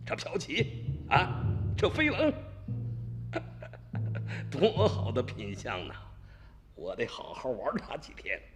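Gloating gang boss. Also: male voice, medium pitch. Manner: gloating, savoring. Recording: reverberant radio drama with music.

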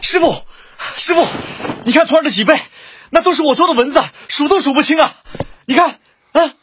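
An actor playing an earnest, determined young martial arts disciple in a radio drama, eager and emphatic. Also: male voice, extremely high pitch, fairly quick, lo-fi sound, background noise. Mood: excited.

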